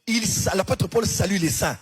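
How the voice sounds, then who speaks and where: A man with a Central African French accent, preaching a sermon in a singsong cadence that climbs into shouts. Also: high-pitched, animated, normal speed, slightly rough.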